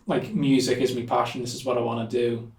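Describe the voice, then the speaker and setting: A young man with a Liverpool accent talks through his story at an easy, meandering pace, dropping in plenty of "um" and "like." His pitch stays fairly flat and steady. The recording has him somewhat off the mic in a room with a noticeable echo. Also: a little fast, recorded from a distance. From Liverpool, England. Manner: relaxed, rambling, many fillers like "um" and "like". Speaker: musician guest. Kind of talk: casual interview chat.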